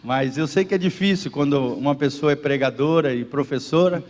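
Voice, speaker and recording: A man's voice: fairly high pitch, a little fast, darkish tone. A man, the pastor, introducing a guest to his church and interpreting the sermon live, with fillers and repetitions. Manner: fervent, repeats phrases, fillers like "né". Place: church service. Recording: roomy church reverb.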